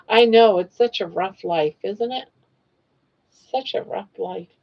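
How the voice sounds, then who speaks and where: Gravelly-voiced woman in her sixties. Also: mid-range voice, takes it slowly, dull audio. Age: 60s. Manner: chatty, joking, talks baby-talk to her pets. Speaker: livestream host. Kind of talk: casual live-stream chat.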